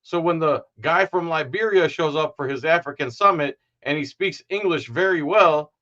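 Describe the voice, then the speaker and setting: A man's voice: on the high side, moderate pace, pushed and bright, lo-fi sound. A man hosting a podcast, giving rambling, sarcastic political commentary. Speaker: podcast host.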